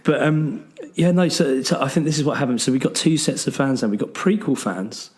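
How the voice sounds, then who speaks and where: A man's voice: medium pitch, expressive, a little fast, darkish tone. A man with a bad cold and a fading voice, chatting loosely as a podcast co-host with lots of ums and restarts. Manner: rambling, full of ums and restarts. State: has a bad cold, losing his voice. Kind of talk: casual chat.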